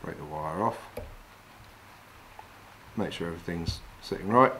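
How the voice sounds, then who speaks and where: Low-voiced man demonstrating fly tying. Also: measured pace, a little hiss or hum, rough recording. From England. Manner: calm, practical, talks while working with his hands. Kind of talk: step-by-step demonstration. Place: wooden lodge room, indoors.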